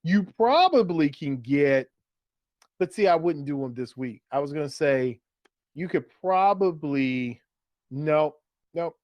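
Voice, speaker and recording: A man's voice: on the high side, animated, slow pace, no room sound, dull audio. A man co-hosting a sports show, talking casually and giving his opinions in a conversational way. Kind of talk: casual fantasy football banter.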